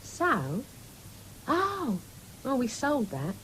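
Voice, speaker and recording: A woman's voice: high-pitched, wildly swooping pitch, extremely slow, full character voice. An actress playing a bitter, weary young mother on a farm in a radio play, complaining sharply. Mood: sullen. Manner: sullen, complaining, sharp-tongued. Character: bitter, slovenly young farmer's wife, weary mother of two. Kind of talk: 1970s BBC radio play acting. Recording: noisy old radio broadcast recording.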